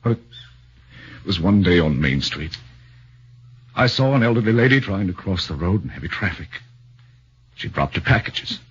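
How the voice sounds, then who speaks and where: A husky, anxious accused man. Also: low-pitched, big pitch swings, normal speed, pauses often, full character voice. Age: 30s. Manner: anxious, earnest, stammering. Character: nervous young man accused of murder, earnest. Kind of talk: 1940s radio acting. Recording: muffled 1940s radio broadcast.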